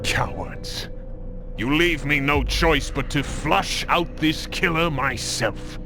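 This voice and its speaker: An actor playing a pompous, menacing Templar commander in a video game, scornful and theatrical with formal oratory. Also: male voice, a little high, takes it slowly, vocal fry, over music. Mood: outraged. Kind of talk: dramatic video game cutscene acting.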